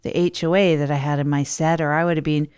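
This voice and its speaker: A woman's voice: sits low, level tone, quick pace, a little mellow, smooth, lightly acted. A woman playing a self-deprecating failed entrepreneur hosting a comedy radio show, rambling and hesitant as they confess. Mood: rueful, reflective. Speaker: host of an advice radio show. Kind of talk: improvised comedy monologue in an audio drama.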